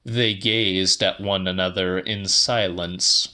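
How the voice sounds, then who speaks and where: Slow, bright-voiced man narrating a novel. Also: sits low, fairly even intonation. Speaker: audiobook narrator. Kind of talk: scripted, slightly dramatic literary narration.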